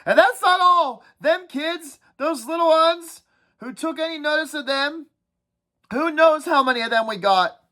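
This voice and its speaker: A woman reading a book aloud and voicing a whiny, anxious boy with glasses in a high, complaining voice. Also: animated, unhurried, sharp tone, heavily acted. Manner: shrill, complaining, frantic. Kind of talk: storybook read-aloud.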